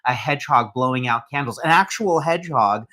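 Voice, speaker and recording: Man, mid-pitched, chatty live-sale host, talks up items as he goes. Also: lively intonation, fairly quick, pushed and bright. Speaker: host of live shopping show. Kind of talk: casual live-stream selling.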